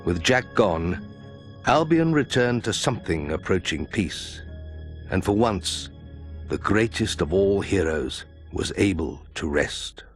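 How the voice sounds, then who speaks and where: A wise guild mentor telling a fantasy game tale. His low voice is calm but expressively varied, with some music behind. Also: slow pace. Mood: measured.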